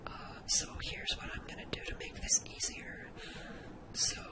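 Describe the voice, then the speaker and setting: Casting: ASMR tutorial host, breathy whisper throughout, instructional step-by-step delivery. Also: unhurried. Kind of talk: whispered ASMR software lesson.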